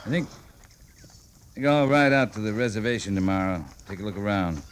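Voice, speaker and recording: An actor playing a calm, authoritative American cavalry captain in an old-time radio western, speaking in measured, terse soldierly lines. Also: male voice, on the low side, close to an everyday voice. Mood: grim, measured. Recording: noisy old-time radio drama recording.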